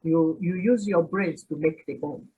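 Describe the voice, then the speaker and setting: A Nigerian woman in her sixties, an Igbo speaker, telling her story as a podcast guest with frequent pauses and laughter. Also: deep voice, dark tone, breathy edge, dull audio. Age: 60s. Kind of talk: reflective interview answer.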